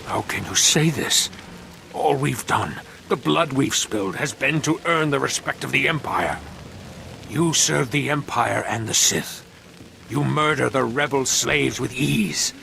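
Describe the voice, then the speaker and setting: A man's voice: medium pitch, measured pace, vocal fry, bright, forceful tone, a little hiss or hum, full character voice. A man playing a fanatical, grandiose leader of murderous escaped slaves in a video game. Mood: wounded devotion. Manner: grandiose, solemn preaching. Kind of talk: dramatic video game voice acting.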